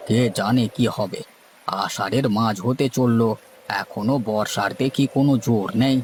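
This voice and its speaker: A man's voice: medium pitch, normal speed, a little mellow, slight background noise, close to an everyday voice. A man playing a seasoned, boastful village boatman in a cartoon, with a folksy, lecturing manner. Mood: knowing, explaining. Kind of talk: cartoon story dialogue.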